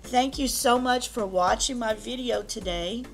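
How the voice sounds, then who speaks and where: A woman beauty vlogger reading product descriptions to camera, her voice deep, rough and strained from allergies. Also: faint music behind. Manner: slow, careful reading of product copy.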